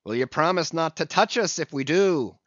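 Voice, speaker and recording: A man's voice: fairly high pitch, expressive, normal speed, a bit bright, no room sound, pitched above the performer's own voice. A man voicing a defiant, blunt sailor who leads a mutiny, in a dull, dry recording.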